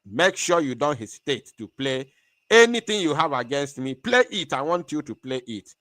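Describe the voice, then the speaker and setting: A man's voice: fairly high pitch, very animated, moderate pace, fairly bright tone, no room sound. A man hosting an online stream, talking in a defiant, taunting way, laughing often and repeating his phrases. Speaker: online show host. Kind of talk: animated live-stream monologue.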